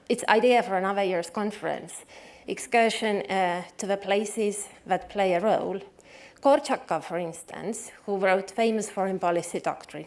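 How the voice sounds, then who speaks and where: A woman introducing the next speaker at a conference, in a conversational, lively way with small slips. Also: mid-range voice. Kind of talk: informal introduction speech.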